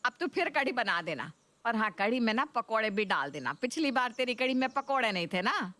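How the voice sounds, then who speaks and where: A woman voicing an older, food-loving Indian mother-in-law who coaxes and exclaims with drawn-out words in a cartoon story. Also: fairly high pitch, big pitch swings, sharp tone, no echo. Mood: coaxing. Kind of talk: Hindi cartoon story voice acting.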